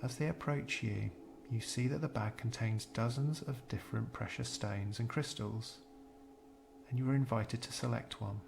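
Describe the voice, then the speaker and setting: A man narrating a scripted guided meditation in a measured, soothing, gentle way, pausing between phrases. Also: medium pitch, gravelly, background noise.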